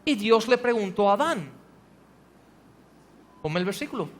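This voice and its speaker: A man preaching from the pulpit, his voice sitting strikingly high as he presses the congregation with question after question. His words ring out into a church hall with a long reverb trailing behind each phrase. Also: big pitch swings, measured pace. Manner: preaching with rhetorical questions, rising to emphatic passages. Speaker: pastor. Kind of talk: sermon.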